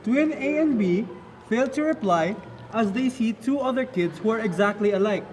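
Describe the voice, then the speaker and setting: High-pitched male narrator, noisy recording. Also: animated, moderate pace. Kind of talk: scripted storybook-like narration. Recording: noisy amateur room recording.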